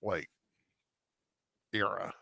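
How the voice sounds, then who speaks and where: A man co-hosting a casual review discussion, talking in a hesitant, halting way with many restarts. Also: pushed and bright, dry recording, studio quality. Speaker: co-host.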